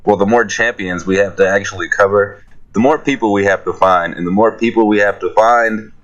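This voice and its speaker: A man's voice: lowish voice, brisk, fairly bright tone, muffled recording. A man talking informally and jokingly as a guest in a casual group Q&A. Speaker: guest musician on panel.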